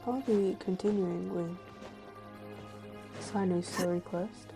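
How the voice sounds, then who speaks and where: Female game streamer: casual chat, slow delivery, noticeable vocal fry, music underneath. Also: on the low side. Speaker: game livestreamer.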